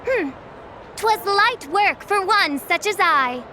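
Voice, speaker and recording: A woman's voice: very high-pitched, lively intonation, takes it slowly, background noise, heavily acted. An actress playing a grandiose young lady in a video game, declaiming in a pompous, archaic, dramatic manner. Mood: grandly boastful. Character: grandiose, theatrical young lady with princess delusions.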